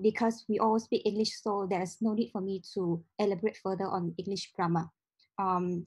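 A woman's voice: fairly high pitch, darkish tone, slightly rough. A young woman whose first language is Vietnamese, giving a casual talk on a video call and explaining step by step with many ums. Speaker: meetup speaker. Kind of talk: casual tech-meetup talk with slides. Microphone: video call webcam.

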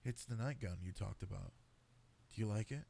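Man acting a low, gravelly, tender cat-boy boyfriend. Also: moderate pace, slight background noise. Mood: doting, playful. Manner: soft, tender, coaxing, intimate. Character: affectionate, doting male cat-boy boyfriend. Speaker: roleplay voice actor. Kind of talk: intimate boyfriend roleplay, sleep-aid ASMR.

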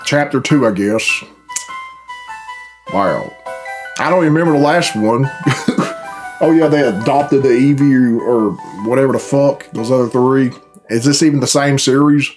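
A man's voice: medium pitch, unhurried, pauses often, darkish tone. A middle-aged man giving grumbling, profane, casual commentary as a reaction host in a room at home. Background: cartoon dub with music playing.

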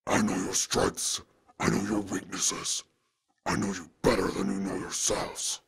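A man voicing a menacing, evil god-of-speed villain in a gruff, gravelly, deliberate voice. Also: sits low, little pitch movement, a bit bright, soft background music, voice pushed down, full character voice. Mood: cold menace.

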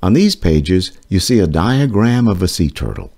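A man reads an educational book aloud to children in a deep, mellow voice. His delivery is deliberate and explanatory, with lively rises and falls in pitch across the phrases.

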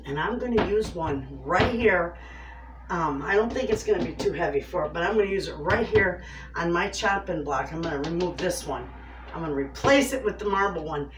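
A woman in her fifties chatting to viewers in a homey way while cooking in her home kitchen. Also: on the low side. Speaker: homestead cooking vlogger. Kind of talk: casual cooking chat to camera. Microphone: far camera on a tripod. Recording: echoey kitchen from a distance, faint noise.

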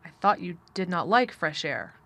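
A woman's voice: lowish voice, animated, normal speed, bright, forceful tone. A woman voicing a nervous, irritable male country doctor in a storybook, with a grave, formal manner.

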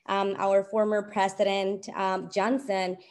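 A woman's voice: mid-range voice, level tone, unhurried, a little mellow. A woman giving a casual, hesitant presentation with many fillers over a video call.

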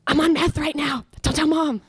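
A woman's voice: high-pitched, steady tone, moderate pace, dry recording, clean studio sound, natural voice. A woman playing a snarky slacker grown daughter in a live radio comedy, mocking and easily flustered. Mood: mocking, smug. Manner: mocking, stammering when cornered.

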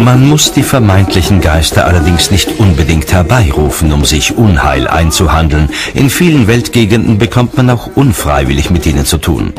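A man's voice: sits low, big pitch swings, moderate pace, hardly any pauses. A man reading scripted narration for a radio documentary. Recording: music under the voice. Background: background music.

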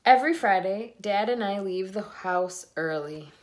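A middle-aged woman reading a children's picture book aloud in a deliberate storytelling way, recorded on a phone at home. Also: medium pitch, expressive. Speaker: read-aloud host. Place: indoors in a room at home.

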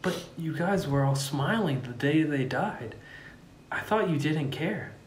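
A man plays a foolish young king who is overwrought and emotional. His pitch swings widely and his pacing is slow. The room echoes around him in this script read-through. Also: expressive. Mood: remorseful. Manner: overwrought, dramatic delivery with big swings. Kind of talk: amateur script table read. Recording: roomy echo, faint background noise.

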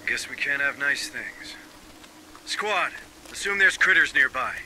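A man's voice: medium pitch, lively intonation, a little slow, sharp tone, rough recording. An actor playing a marine sergeant in a video game, commanding his squad with dry humor. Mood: dry, alert. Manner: commanding, dryly joking. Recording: faint background noise.

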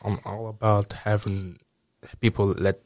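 A man's voice: fairly low pitch, unhurried, no room sound. A man in his early twenties hosting a casual podcast chat, rambling with many restarts and fillers. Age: 20s. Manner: relaxed, rambling, many restarts and "you know what I'm saying". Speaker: podcast host.

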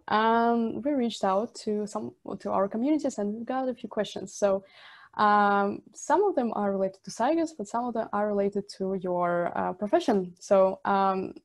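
A woman's voice: medium pitch, darkish tone. A young woman from Central Asia hosting a video call interview, asking friendly questions with many fillers. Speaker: interviewer host.

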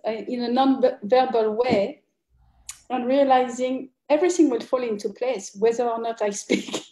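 Woman in an echoey room, mellow voice. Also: a little high. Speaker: participant in an online group conversation. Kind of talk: personal sharing, casual chat. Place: indoors on a group video call. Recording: roomy, echoey video call.

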